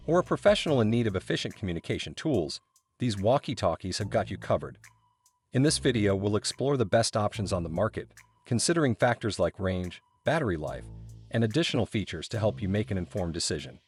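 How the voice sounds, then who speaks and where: A man's voice: lowish voice, very animated, fairly quick. A man reading scripted narration for a product review video in a polished promotional style. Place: voice-over recording. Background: background music.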